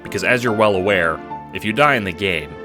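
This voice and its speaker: A man's voice: fairly low pitch, brisk, over music. A man reading scripted second-person audio drama narration in a dramatic, wry storytelling manner. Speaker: narrator and producer.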